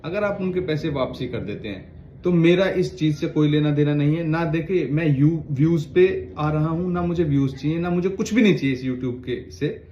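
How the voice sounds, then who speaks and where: A fairly high-voiced man speaks earnestly to the camera as a lawyer, pleading and then warning. The room sounds echoey, and the recording is dull and lo-fi. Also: moderate pace, mellow, slight background noise. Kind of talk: direct-to-camera appeal.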